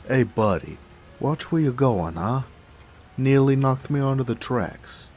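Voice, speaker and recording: An actor playing a jovial, affectionate big 1920s mobster with an American accent, in a folksy, teasing noir audio drama. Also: male voice, medium pitch, expressive, a little slow, a little mellow, a little hiss or hum, close to an everyday voice. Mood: annoyed. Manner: folksy, teasing, chatty, chuckling. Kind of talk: noir romance audio roleplay, 1920s gangster slang. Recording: faint rain ambience behind voice. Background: rain.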